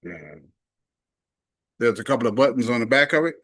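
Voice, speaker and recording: A man's voice: mid-range voice, lively intonation, moderate pace, fairly bright tone, no echo, clean studio sound. A man reviewing a gadget casually and hands-on, talking himself through each step in a folksy, emphatic way. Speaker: product reviewer.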